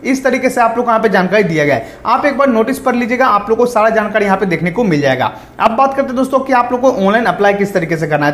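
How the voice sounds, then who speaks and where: Man, tutorial presenter, rapid delivery, repetitive explanatory manner, echoey room recording. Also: extremely high pitch. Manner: repetitive, explanatory, reads out then paraphrases. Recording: roomy, echoing voice-over.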